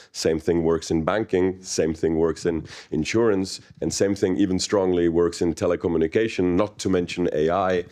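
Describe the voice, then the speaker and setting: A man with a Czech accent explains economic ideas in long, lecture-like runs. His voice sits very low, and he drops in an occasional dry joke. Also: a little mellow. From Czech Republic. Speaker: panel moderator and economist. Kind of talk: explanatory panel talk.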